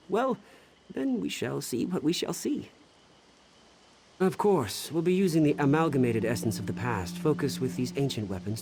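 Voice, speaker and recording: A man playing an excitable, self-important mage scholar in a video game, fussy and theatrical as he muses aloud. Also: mid-range voice, a little hiss or hum, lightly acted. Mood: eager. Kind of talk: video game character acting. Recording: music behind the voice.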